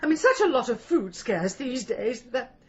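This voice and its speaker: A woman playing a theatrical, self-dramatising weeping widow in a radio drama, halting, plaintive and tearful. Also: on the high side, big pitch swings, lo-fi sound. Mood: noble, tearful.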